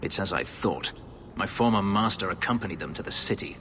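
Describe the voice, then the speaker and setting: Proud elf warrior, fantasy game, deep, grave, formal, resolute, long reverb, faint background ambience. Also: male voice, expressive, fairly quick, pushed and bright, a little hiss or hum. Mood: somber. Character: brooding, proud elf warrior, escaped slave, grimly resolute. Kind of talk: video game character acting.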